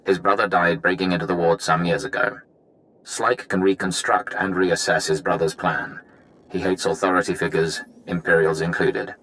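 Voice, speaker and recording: An actor playing a grave, businesslike intelligence operative giving a clipped, formal briefing in a video game. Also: male voice, sits low, steady tone, moderate pace, fairly bright tone, a little hiss or hum, rough recording.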